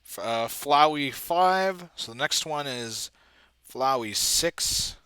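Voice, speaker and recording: Casting: male video editor, very bright and forceful tone, unhurried, halting, casual self-deprecating muttering. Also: medium pitch, expressive, smooth, dry recording. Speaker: video editor and comic dubber. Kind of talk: casual thinking-aloud screen-recording commentary.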